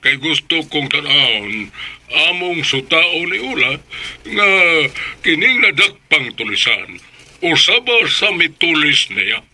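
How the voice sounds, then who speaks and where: A man's voice: fairly high pitch, big pitch swings, slow, pushed and bright, a little hiss or hum, heavily acted. A man playing a determined, upright father in a Filipino radio drama, with a Cebuano accent. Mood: resolute.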